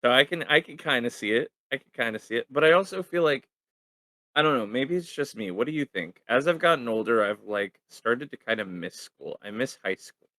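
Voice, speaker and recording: A man's voice: fairly low pitch, bright, forceful tone, no echo. A young man hosting a casual podcast, rambling with lots of ums and easy laughter. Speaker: podcast host.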